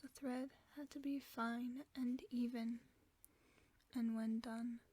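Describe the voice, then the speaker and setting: A woman voicing a gentle, protective werewolf friend in a whispered sleep-aid audio roleplay, speaking in a soft, soothing whisper close to the microphone. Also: a little high, steady tone, slow, slightly rough. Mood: storytelling.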